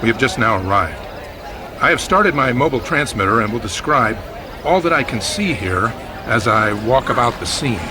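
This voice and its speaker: An earnest, easily flustered radio newsman played by an actor reporting live from the field. He is formal and descriptive in an old-time drama style, with a mid-pitched voice over clear outdoor noise. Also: lively intonation, natural voice. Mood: formal, composed. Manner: formal, descriptive, haltingly awed.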